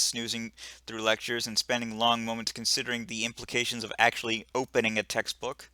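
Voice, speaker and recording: A man's voice: mid-range voice, bright, forceful tone, clean tone, no room sound. A man playing a video game, making sarcastic remarks and reading the game text aloud, flubbing words now and then. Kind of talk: casual gameplay commentary and reading game text.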